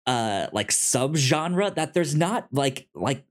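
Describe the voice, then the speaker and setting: A man with a mid-pitched voice chats about films as a podcast co-host. He is enthusiastic but halting, often restarting and repeating words as he goes. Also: sing-song. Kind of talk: casual movie discussion.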